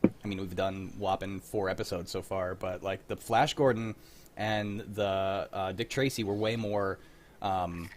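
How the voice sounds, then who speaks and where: A man's voice: low voice, steady tone, measured pace. A man hosting a casual group chat in a joking, rambling, freely swearing way. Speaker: host of a livestreamed script-reading show.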